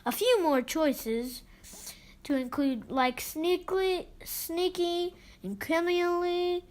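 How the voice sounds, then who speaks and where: A child reading a children's book aloud expressively, with halting delivery and stumbles over words. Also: very high-pitched, steady tone.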